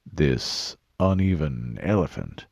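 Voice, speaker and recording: A man voicing a gruff, steady male marine sergeant in an audiobook, with a plain-spoken, wry manner. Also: rumbling low, slow, no echo, clean studio sound, heavily acted. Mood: curious. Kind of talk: audiobook character voice.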